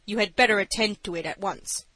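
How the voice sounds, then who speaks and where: A woman's voice: medium pitch, brisk, sharp tone, no echo. A woman voicing a brusque male police officer in an audiobook, firm and blunt as he questions a stranger. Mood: brusque, assertive.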